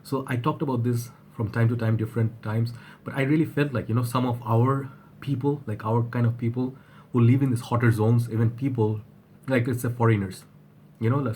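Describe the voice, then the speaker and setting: A man with a flu-husky voice, talking casually to his viewers and often rambling and restarting. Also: mid-range voice, dark tone, slight background noise. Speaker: fragrance reviewer. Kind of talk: casual vlog talk to camera.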